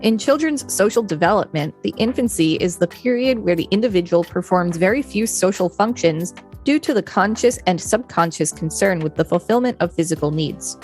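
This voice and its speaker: Lower-pitched woman reading an article aloud. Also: expressive, moderate pace, darkish tone, soft background music. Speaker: podcast co-host reading an encyclopedia article. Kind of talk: read-aloud article narration.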